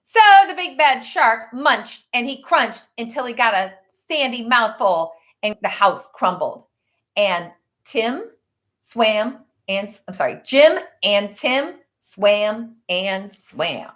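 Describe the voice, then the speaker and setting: Expressive woman reading a children's storybook aloud. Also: a little high, slow pace. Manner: expressive, chatty, asks listeners for predictions. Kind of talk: children's read-aloud with teacherly asides.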